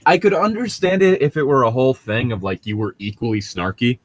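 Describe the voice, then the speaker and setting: A man's voice: mid-range voice, brisk, dry recording. A man in casual group chat, rambling and sardonic, with frequent swearing.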